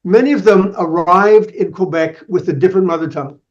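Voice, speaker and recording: A man who makes documentaries, speaking as a podcast guest in a measured, explanatory way. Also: sits high, lo-fi sound. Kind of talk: interview answers.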